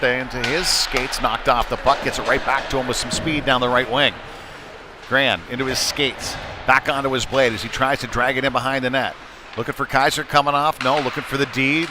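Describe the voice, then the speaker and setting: A man doing live play-by-play hockey commentary in clipped running phrases that lift with the action. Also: medium pitch. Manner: clipped running phrases, excited on big plays. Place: indoor ice rink during a game. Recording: clear rink noise behind the voice.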